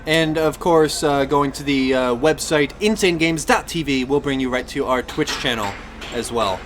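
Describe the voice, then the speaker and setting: A man's voice: a little high, normal speed, fairly bright tone, soft background music. A man co-hosting a casual games and movies podcast, explaining things in a wry, rambling way. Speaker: podcast co-host.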